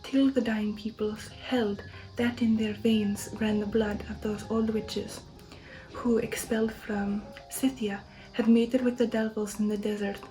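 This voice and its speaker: A woman voicing a proud old male vampire count in a gothic storybook, soft and half-whispered, stately and declamatory. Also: mid-range voice, level tone, measured pace, faint music behind, lightly acted, low-quality recording. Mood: solemn pride. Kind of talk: ASMR audiobook reading of a gothic novel.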